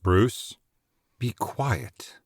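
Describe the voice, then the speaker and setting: A man's voice: fairly low pitch, expressive, very slow, husky, no echo, studio quality, exaggerated voice. A man playing a grave, fatherly doctor in an audio drama, consoling but stern. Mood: somber. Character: somber, fatherly male doctor.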